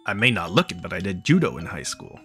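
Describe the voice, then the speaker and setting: Low-voiced man reading a game aloud. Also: huge pitch swings, quick pace, a bit bright, faint music behind. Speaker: let's play host reading the game's text aloud. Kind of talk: casual playthrough commentary mixed with read-aloud narration.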